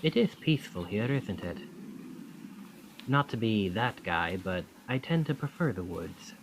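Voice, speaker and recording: Charming, lively young male student, acted by a man. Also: lowish voice, unhurried, background noise. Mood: musing, intense.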